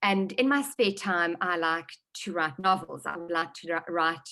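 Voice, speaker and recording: A woman's voice: fairly low pitch, expressive, moderate pace, bright, forceful tone. A middle-aged woman with a South African accent answering interview questions thoughtfully, with many ums. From Johannesburg, South Africa. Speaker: novelist guest.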